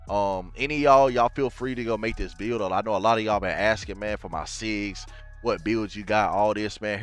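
Stuffy-nosed young man, laid-back gamer talk. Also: on the low side. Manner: laid-back, addresses viewers as y'all, fillers like man and bro. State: stuffy from a cold. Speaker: gaming YouTuber. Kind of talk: casual game walkthrough. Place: home gaming setup, gaming chair.

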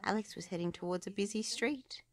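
A woman narrating a children's storybook aloud in an expressive way, paced for young listeners. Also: mid-range voice, fairly quick.

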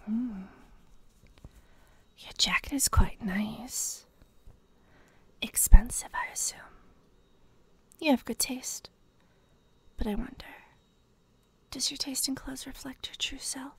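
Woman playing a breathy, slow-whispering teasing female detective. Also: on the high side, fairly bright tone, full character voice. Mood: teasing, probing. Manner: slow, deliberate, teasing, pausing. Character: teasing, obsessed female detective toying with a caught criminal. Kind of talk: ASMR roleplay, scripted intimate monologue.

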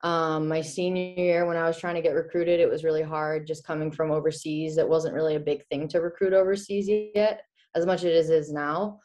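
Young woman, from the czech republic, lowish voice, laughs a lot, webcam mic in a small room. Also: fairly quick. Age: 20s. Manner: chatty, laughs often, animated storytelling. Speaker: guest, former college hockey player. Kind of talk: casual video-call interview. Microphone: laptop webcam on video call.

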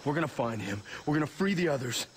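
An actor playing a tough, protective older brother in a video game, speaking in an urgent, firm and steadying way. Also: male voice, a little high, expressive, fast talker, a little hiss or hum, lightly acted. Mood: resolute, reassuring. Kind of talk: dramatic video game acting. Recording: loud music behind the voice. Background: loud game music.

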